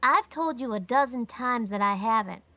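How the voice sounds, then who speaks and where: A woman's voice: extremely high pitch, wildly swooping pitch, moderate pace, no room sound, voice pushed up, full character voice. A woman voicing a mischievous little boy who tells fibs in a cheeky way. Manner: cheeky, bright.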